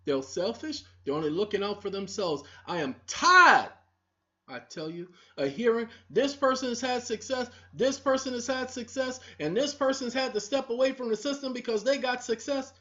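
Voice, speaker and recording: Woman video host, preachy confrontational monologue, animated delivery, muffled lo-fi audio. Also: on the high side. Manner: preachy, scolding, repetitive, talks straight to the audience. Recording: dull lo-fi recording.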